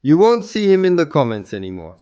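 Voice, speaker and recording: A hoarse man hosting a comedy show and reading viewer comments with quick, jokey asides. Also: a little high, sing-song, dark tone, dull audio.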